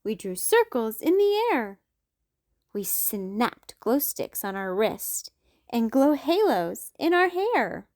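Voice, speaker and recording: Slow, lively woman reading a storybook. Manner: expressive, sing-song reading with big pitch leaps. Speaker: children's book reader. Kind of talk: storytime read-aloud.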